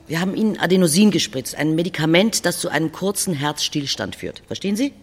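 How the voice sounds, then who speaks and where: A raspy, high-pitched blunt doctor. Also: male voice, expressive, fast talker. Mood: brisk, matter-of-fact. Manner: clipped clinical jargon, patronising. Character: brisk, blunt male hospital doctor. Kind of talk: audio drama dialogue.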